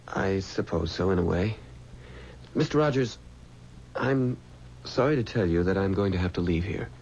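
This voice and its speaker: An actor playing an earnest, uneasy young mortician with a hesitant way of talking in a 1970s radio drama. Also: male voice, deep voice, animated, moderate pace, darkish tone, breathy edge, exaggerated voice. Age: young adult. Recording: dull, faintly noisy 1970s radio recording.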